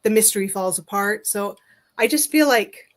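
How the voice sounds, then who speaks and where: Medium-pitched woman, thoughtful interview guest. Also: normal speed. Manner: thoughtful, explanatory, informal. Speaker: guest, fiction writer. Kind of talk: casual interview chat giving advice.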